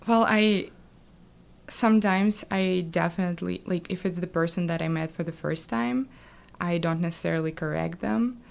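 A young woman from Kazakhstan, a Russian speaker, talking thoughtfully as a podcast guest with many pauses. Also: lowish voice, gravelly. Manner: soft-spoken, thoughtful, frequent um. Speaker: guest, former international student. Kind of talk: podcast interview.

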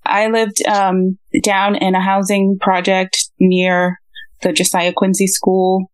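A woman from Boston answering interview questions in a measured, thoughtful way. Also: medium pitch, completely flat delivery, no echo. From Boston, USA. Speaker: guest.

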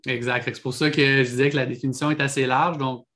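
A man with a Quebec French accent co-hosts in a calm, unhurried way, pausing often with fillers. His voice stays mid-pitched and very even, with little rise or fall. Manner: calm, hesitant, frequent fillers. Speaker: podcast co-host. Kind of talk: casual legal podcast chat.

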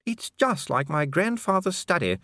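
A well-bred storybook noblewoman acted by a man, pitched high and very expressive. She is kindly and polite, given to genteel exclamations and gentle scolding. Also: normal speed, no echo, studio quality, heavily acted. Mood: gently reproving, uneasy.

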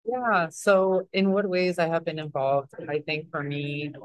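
Young guy from mexico, kinda high voice, lots of likes and ums, chatty interview answers. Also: fairly even intonation, a little slow, no echo, poor audio. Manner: rambling, many fillers and restarts. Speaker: interview guest. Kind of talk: casual interview talk.

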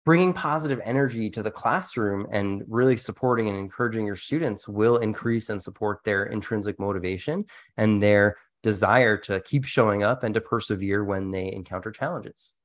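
Low-voiced man explaining earnestly. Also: normal speed, few pauses. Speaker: podcast co-host and educator. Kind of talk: conversational teaching-tips discussion.

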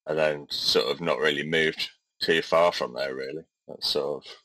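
A man's voice: a bass voice, steady tone, normal speed, sharp tone, dry recording, rough recording. A man co-hosting a podcast, chatting casually and hesitantly with many fillers. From Midlands of England. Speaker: podcast co-host. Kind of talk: casual chat.

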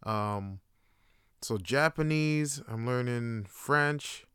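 A young man hosting his own podcast, talking in a casual, slangy monologue full of animated jokes and asides. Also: mid-range voice, very slow, clean tone, dry recording, clean studio sound. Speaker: podcast host.

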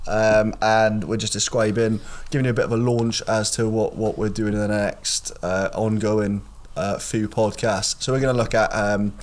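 A man's voice: fairly low pitch, moderate pace. A man co-hosting a property podcast, chatting casually and loosely with plenty of fillers. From Wales. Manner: relaxed, rambling with fillers. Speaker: podcast co-host.

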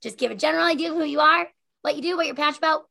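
A woman's voice: high-pitched, racing, vocal fry, sharp tone. A woman hosting a podcast in a casual, chatty way with a friend, effusive and giggly in manner. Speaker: podcast host.

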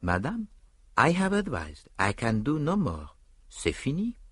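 A man voicing a dry, courtly detective with a French accent and a deliberate, gently mocking manner. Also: mid-range voice, huge pitch swings, husky, no room sound, pitched above the performer's own voice, exaggerated voice. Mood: dry, resigned.

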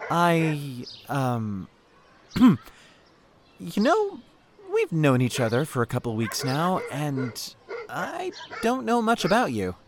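A man's voice: on the high side, wildly swooping pitch, takes it slowly, noisy background, heavily acted. An actor playing an earnest, lovestruck young man in an audio drama, a little hesitant and awkward. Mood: gently curious. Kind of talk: naturalistic audio drama acting.

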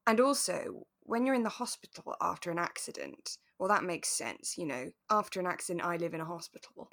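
A woman's voice: mid-range voice, fairly bright tone. A woman co-hosting a podcast, casually talking through a radio drama in a reflective, explanatory way. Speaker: podcast co-host.

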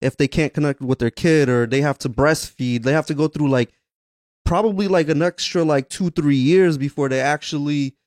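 A young man co-hosting a podcast, calmly and thoughtfully explaining his view. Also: on the high side, moderate pace, no room sound, studio quality. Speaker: podcast co-host. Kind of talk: casual podcast chat.

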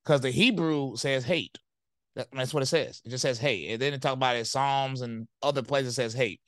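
A man's voice: medium pitch, moderate pace, fairly bright tone, dry recording. A man interviewing a guest, asking questions in a casual, hesitant way with many fillers.

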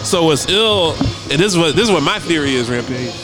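Man, radio host, casual laid-back chat, repeats himself, slightly high voice, music playing behind. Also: lively intonation, brisk, poor audio. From United States. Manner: laid-back, repeats words while thinking aloud.

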